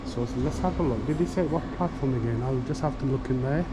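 A middle-aged man talks casually to his handheld camera as a travel vlogger. His voice sits at a medium pitch, and he speaks haltingly, thinking aloud. Loud transport noise and hum fill the recording. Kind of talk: casual vlog commentary. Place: railway station hall and inside a train carriage. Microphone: action camera at arm's length. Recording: loud ambient station and train noise.

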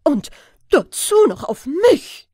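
Audiobook crime story: pompous, jittery police major, blustering and drawn out female reader, swooping pitch. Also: sing-song, slow pace, warm tone, no echo, studio quality, heavily acted. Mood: shocked. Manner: blustering, indignant. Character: indignant, easily frightened male police major, self-important superior.

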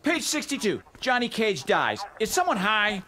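An actor playing a smug, flirtatious Hollywood action-star fighter in a video game, teasing and theatrical. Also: male voice, high-pitched, huge pitch swings, normal speed, background noise. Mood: indignant, pleading. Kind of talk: video game character dialogue.

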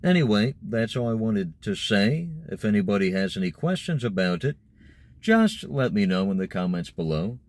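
Low-voiced young man chatting to camera. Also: sing-song, moderate pace, darkish tone. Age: young adult. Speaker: vlogger discussing voice acting. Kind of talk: casual explanatory talk to camera. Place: inside a parked car.

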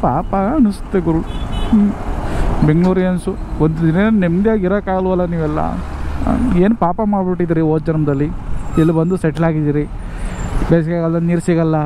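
A man talks to his viewers from a motorbike, chatty and teasing, with grumbling asides. His voice sits a little high for a man. Loud traffic and wind noise run under everything he says. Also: fairly even intonation, fairly quick. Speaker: motovlogger. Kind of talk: casual riding commentary. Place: on a motorbike in city traffic, outdoors.

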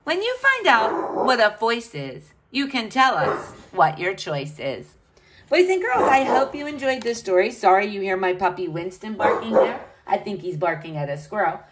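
A woman's voice: mid-range voice, sing-song, moderate pace, very noisy. A woman reading a picture book aloud to children, with a sing-song, questioning delivery.

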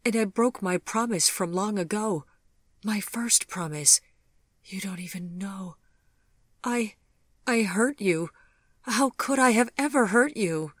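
A woman's voice: on the low side, measured pace, no echo, studio quality. A woman voicing a remorseful, tormented male monster lover in an audiobook, pleading and intense. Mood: anguished.

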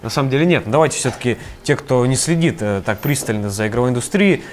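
A man's voice: mid-range voice, animated, quick pace. A young man with a stuffy, nasal voice from a cold, running through game news casually in a small studio on a lapel mic. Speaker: news presenter.

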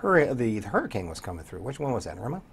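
A man's voice: medium pitch, big pitch swings, very fast, slight background noise. A man in his sixties chatting as an interview guest, telling stories in a rambling, conversational way. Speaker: radio program director, guest. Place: small TV studio, indoors.

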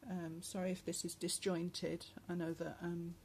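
A woman talks casually while showing a handmade journal. She speaks softly, with many pauses and fillers, and her voice is going hoarse. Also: fairly low pitch, level tone, a little hiss or hum. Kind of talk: casual craft flip-through narration. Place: indoors at a table at home. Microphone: phone camera above the table.

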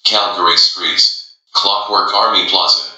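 Deep voiced guy doing train announcements, very forceful sound, tons of echo. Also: fairly even intonation, a little slow, highs cut off. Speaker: subway announcer. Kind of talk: scripted transit announcement. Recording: very echoey announcement clips.

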